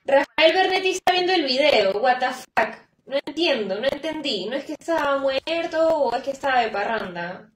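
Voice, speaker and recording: A woman's voice: sits high, animated, normal speed, hardly any pauses, echoey room. Chatty young Peruvian woman streaming. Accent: Peruvian Spanish. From Peru. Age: young adult. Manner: chatty, reads chat aloud, exclaims at herself. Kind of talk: casual live-stream chat while gaming. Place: room indoors at a computer.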